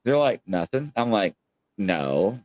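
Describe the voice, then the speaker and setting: A man's voice: on the low side, big pitch swings, takes it slowly, a little mellow. A man in his late forties hosting a casual show, telling rambling, folksy stories emphatically and acting out quoted conversations. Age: late 40s. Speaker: show host. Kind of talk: casual chat with anecdotes and acted-out quotes.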